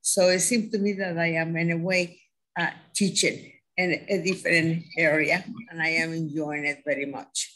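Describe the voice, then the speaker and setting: A retired teacher in her sixties introducing herself on a video call in an unhurried way. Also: low voice, level tone, fairly bright tone.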